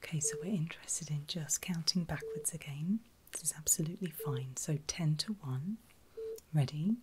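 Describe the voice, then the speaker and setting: A woman whispering in a breathy, soothing and reassuring way, as a caregiver in an ASMR medical roleplay. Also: deep voice, noisy background. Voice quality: breathy, mostly unvoiced whisper. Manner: soothing, reassuring, slow and deliberate. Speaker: ASMR performer playing a surgical nurse.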